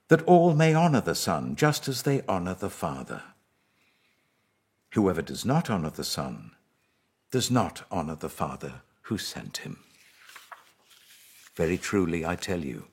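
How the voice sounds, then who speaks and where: A British man reading the words of a solemn, authoritative male teacher from scripture, with a husky voice and a grave, deliberate delivery. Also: low-pitched, full character voice. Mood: emphatic declaring, then grave, hushed.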